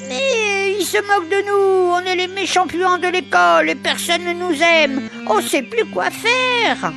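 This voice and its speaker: A woman's voice: high voice, animated, moderate pace, music in the background. A woman voicing an earnest, plucky young animal schoolchild in a children's audio story. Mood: upset.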